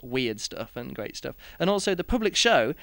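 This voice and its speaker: A man's voice: mid-range voice, big pitch swings, moderate pace, gravelly, a bit bright, dry recording. A man chatting casually as a podcast host, in a rambling, hesitant way and often restarting his sentences. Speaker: podcast host and juggling performer. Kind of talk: casual podcast chat, reviewing his year.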